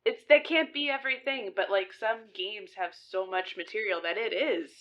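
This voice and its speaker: A woman co-hosting a podcast, making relaxed, thoughtful remarks. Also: mid-range voice, moderate pace, muffled recording. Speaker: podcast co-host. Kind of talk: casual podcast chat.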